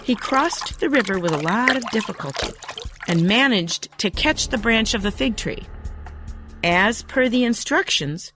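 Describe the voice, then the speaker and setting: A woman reading a scripted children's story as a narrator, in an expressive, deliberate storybook manner. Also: fairly high pitch. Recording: music behind the narration. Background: background music.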